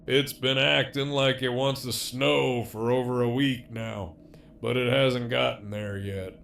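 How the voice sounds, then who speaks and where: A man's voice: medium pitch, steady tone, a little slow, gravelly, fairly bright tone, a little hiss or hum. A man playing a sardonic, foul-mouthed jailed villain in an audio drama, with a wry, sarcastic drawl. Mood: wry, resigned.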